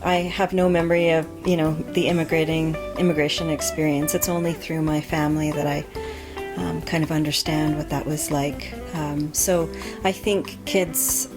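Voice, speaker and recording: Thoughtful woman guest, low voice. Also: flat delivery, normal speed, over music. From Canada. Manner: thoughtful, with frequent ums and restarts. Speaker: author guest. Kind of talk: conversational interview answers.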